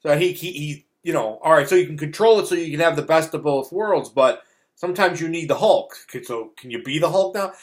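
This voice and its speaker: A man's voice: on the high side, fairly quick. A middle-aged man chatting casually as a film review co-host, blunt and opinionated, often repeating himself for emphasis. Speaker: podcast co-host reviewing films.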